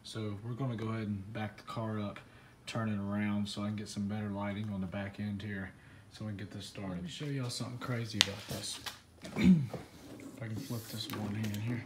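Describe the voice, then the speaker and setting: A man's voice: fairly low pitch, very noisy. A man hosting his own channel, talking viewers through a hands-on car job in a laid-back, chatty way with lots of "um" fillers. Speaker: car-modding channel host. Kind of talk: casual how-to walkthrough.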